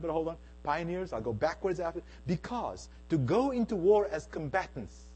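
A middle-aged man from South Africa giving an emphatic church talk, swinging between hushed and loud. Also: sits high, slightly rough, poor audio. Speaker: speaker at a Bible Q and A. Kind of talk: lecture-style church talk.

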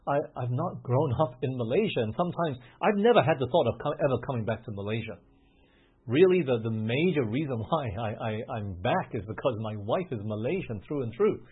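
Male preacher, earnest and emphatic, raspy breathy tone, lo-fi phone-like recording. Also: medium pitch, animated, fairly quick. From grew up in England, Australia and the US. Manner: earnest, rising to emphatic, frequent restarts. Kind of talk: sermon.

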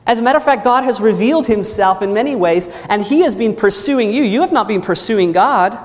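A man's voice: high voice, lively intonation, a little fast. A man preaching a sermon in an earnest manner, often repeating his phrases and asking the congregation "Amen?". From New Zealand. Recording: long hall reverb.

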